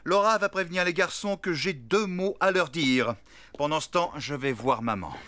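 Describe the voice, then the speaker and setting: A man's voice: fairly high pitch, moderate pace. A man voicing an easygoing but easily flustered middle-aged elephant father in a children's cartoon dub. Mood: surprised, calm. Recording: loud music behind the voice.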